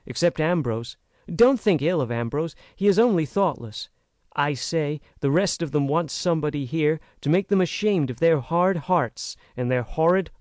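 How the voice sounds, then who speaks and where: A man's voice: a little high, a little mellow, no room sound, studio quality, pitched above the performer's own voice. A man reading an audiobook, voicing an earnest, frank young American woman who pleads with emphasis.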